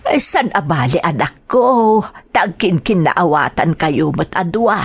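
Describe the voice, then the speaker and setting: A woman's voice: fairly low pitch, lively intonation, measured pace, husky, exaggerated voice. A woman with an Ilocano accent acting a caring older mother who counsels her grown son in an audio drama. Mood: concerned, gentle.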